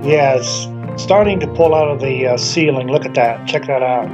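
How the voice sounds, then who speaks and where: A man's voice: mid-range voice, normal speed, dull audio, over music. Chatty middle-aged man filming outdoors. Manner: chatty, enthusiastic, with wandering asides. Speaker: urban explorer vlogger. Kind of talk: casual walking narration. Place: outdoors in snow at an abandoned motel. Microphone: handheld camera at arm's length.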